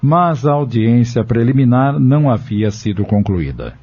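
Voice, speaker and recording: A man's voice: mid-range voice, big pitch swings, measured pace, dark tone, slightly rough. A man narrating a dramatic audio novel from a script in a solemn, literary manner. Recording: dull lo-fi audio with music behind. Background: music.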